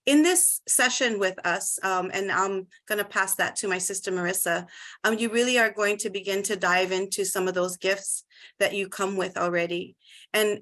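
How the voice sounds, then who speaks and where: A woman's voice: medium pitch, pushed and bright. A woman from Hawaii facilitating an online workshop over a video call, welcoming participants and giving an overview. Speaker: facilitator, cultural practitioner.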